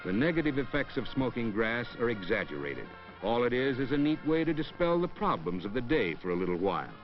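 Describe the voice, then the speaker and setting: A man's voice: medium pitch, big pitch swings, brisk. A man reading scripted narration for a 1960s educational film in a wry storytelling way, echoing hip slang. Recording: dull old 1960s film soundtrack with music. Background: background music.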